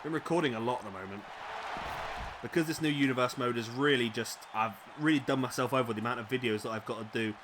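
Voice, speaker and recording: A man with a slightly raspy voice, commentating a wrestling video game play-by-play, excitable at big moves and chatty in asides. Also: medium pitch, quick pace, background noise.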